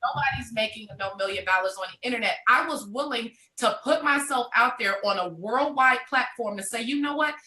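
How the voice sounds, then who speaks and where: This is a woman around thirty telling her entrepreneur story with animated, motivational drive. Her voice sits a little high and rings bright and forceful. The sound carries a roomy echo. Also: fairly quick, hardly any pauses. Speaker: network marketing entrepreneur. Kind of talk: motivational live conversation.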